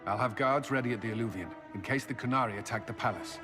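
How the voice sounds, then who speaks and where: A man's voice: mid-range voice, fast, over music, natural voice. A man playing a firm, pragmatic military advisor in a fantasy video game, clipped and resolute. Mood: businesslike. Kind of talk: dramatic video game acting.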